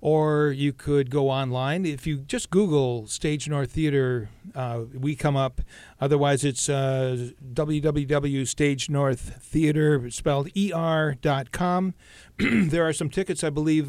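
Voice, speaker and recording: A man's voice: fairly high pitch, fairly even intonation, a little slow, darkish tone, clean tone, no echo. A man promoting a theater company on a radio show, hesitating with many fillers as he reads out dates and ticket details. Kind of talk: casual radio interview.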